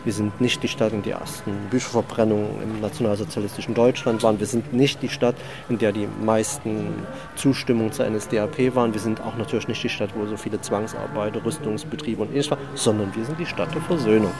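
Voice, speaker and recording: A man's voice: on the low side, steady tone, fast talker, vocal fry, few pauses, over music. A man making an emphatic statement in an ironic, repetitive cadence.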